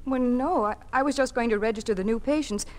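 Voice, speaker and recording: A woman's voice: a little high, quick pace, a little mellow, natural voice. A woman playing a loyal, compassionate nurse in a 1950s radio drama, earnest and anxious in manner. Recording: noisy old 1950s radio recording.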